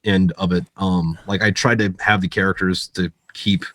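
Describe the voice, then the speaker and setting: A low-pitched American man talks in a casual, rambling way, like a veteran swapping stories. His language is salty and slangy, and the sound is close and dry. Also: steady tone, normal speed, fairly bright tone, no room sound. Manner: salty, frequent profanity, slangy filler-heavy delivery. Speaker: author guest, military veteran. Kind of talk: casual podcast chat, rambling storytelling.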